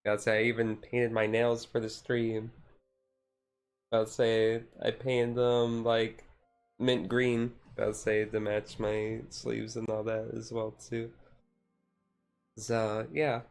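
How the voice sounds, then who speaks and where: A man chatting casually on a chill livestream, rambling and halting as he goes. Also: fairly low pitch, completely flat delivery, clean tone, faint music behind. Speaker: streamer.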